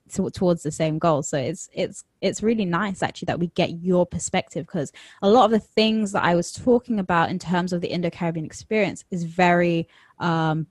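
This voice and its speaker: A woman with a London accent hosting a podcast interview, speaking in a measured, thoughtful way with some hesitant fillers. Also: fairly low pitch, darkish tone, no echo, clean studio sound. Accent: London English. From England. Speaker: podcast host.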